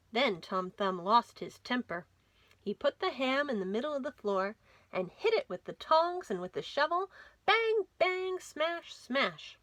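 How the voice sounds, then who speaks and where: A woman reading a children's story aloud as a storytime host, with dramatic, expressive delivery. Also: a little high, dry recording. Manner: dramatic, animated storytelling with whispers and emphasis. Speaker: library storytime reader.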